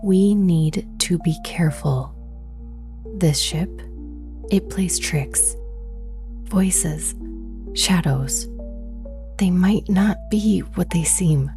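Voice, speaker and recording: An actress playing a wary, secretive, capable woman in a sci-fi horror audio drama, breathy and often close to a whisper. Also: lowish voice, very slow, long pauses, dark tone, faint music behind. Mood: hushed, cautious.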